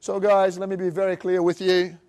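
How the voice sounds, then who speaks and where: A middle-aged man from Brazil with a Brazilian Portuguese accent, giving an animated seminar talk and acting out other people's voices. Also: high voice, brisk. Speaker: motivational speaker. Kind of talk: live seminar presentation.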